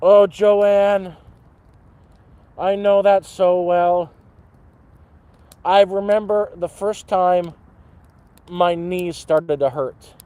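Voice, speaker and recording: Dude in his 30s streaming from a campfire, mellow kinda high voice, rambles n swears. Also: steady tone, extremely slow, pauses often, smooth, no room sound. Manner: rambling, swears, talks to chat. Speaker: livestream host. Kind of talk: casual livestream chat. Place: outdoors at a campfire.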